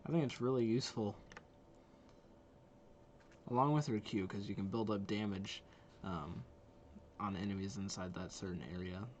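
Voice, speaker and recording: A man's voice: on the low side, steady tone, normal speed, pauses often, darkish tone, slight background noise. A man giving easygoing, chatty reaction commentary as a video creator watching game trailers.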